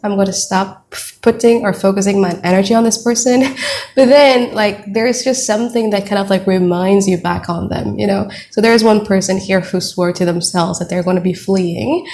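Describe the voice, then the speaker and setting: A woman's voice: on the low side, darkish tone, a little hiss or hum. Chatty Indonesian woman reading tarot. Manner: chatty, filler-heavy, addresses viewers as "you guys". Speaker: tarot reader. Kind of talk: casual tarot reading monologue.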